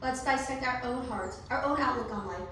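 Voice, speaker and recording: A woman's voice: sits high, fairly quick, fairly bright tone. A woman performing theatrical storytelling narration for readers theatre. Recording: echoey room recorded from far off, faint noise.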